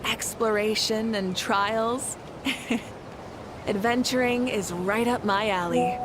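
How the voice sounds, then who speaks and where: A woman's voice: a little high, fairly even intonation, slow. A woman voicing a confident, adventurous female character in a video game. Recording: clearly noisy game stream capture.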